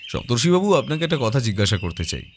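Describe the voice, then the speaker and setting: A man playing a polite, persistent private detective in an audio drama, questioning directly and calmly. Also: medium pitch, quick pace, breathy edge. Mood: calm, probing.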